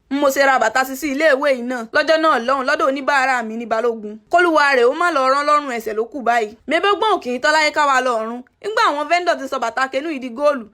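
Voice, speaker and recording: A woman with a Nigerian Yoruba accent races through a comic story as a voiceover, her words tumbling out in quick rhythmic bursts. Her voice sits high and bright. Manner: rapid, rhythmic comic narration.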